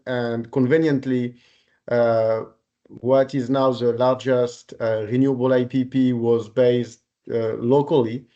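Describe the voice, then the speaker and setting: A man in his forties from Paris with a French accent, speaking politely and haltingly as an interview guest. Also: mid-range voice, dark tone, muffled recording. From Paris, France. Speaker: podcast guest, renewable energy executive. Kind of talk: conversational career account in interview.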